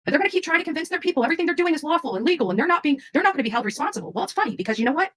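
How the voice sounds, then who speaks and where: A woman's voice: high-pitched, animated, rapid-fire, fairly bright tone, a little hiss or hum. A woman who runs a true crime channel, venting unscripted to her viewers in a combative, defiant way and often repeating herself. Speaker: true crime creator and child advocate.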